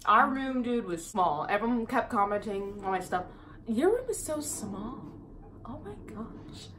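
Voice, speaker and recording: A young woman vlogger telling a casual storytime with her roommate, chatty and animated, dropping "dude" and "exactly" often. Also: a little high, a little slow, slight background noise. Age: young adult.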